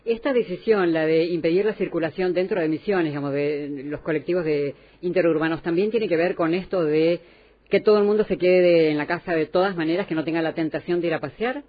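A woman's voice: on the low side, steady tone, fairly quick. An Argentine woman hosting a radio interview, asking plain questions and giving short prompts. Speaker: radio host. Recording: dull lo-fi radio sound.

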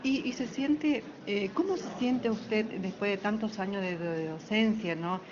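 A woman's voice: mid-range voice, unhurried. A woman conducts an informal interview, putting questions politely and a little hesitantly. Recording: dull lo-fi, crowd chatter behind.

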